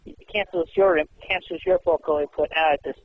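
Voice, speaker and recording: A man talking casually on the phone in a halting, repetitive way. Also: fairly high pitch, fairly even intonation, a little hiss or hum. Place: telephone call. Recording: tinny narrowband phone line.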